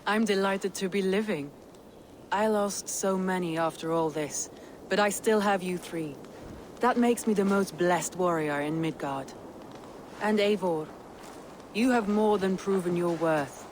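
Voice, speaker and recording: An actress plays a proud Viking woman war leader in a game drama. Her medium-pitched voice is earnest and stately, used to giving orders. Clear background noise sits behind the words. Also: measured pace, close to an everyday voice, rough recording. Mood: warm, solemn. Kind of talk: dramatic video game acting.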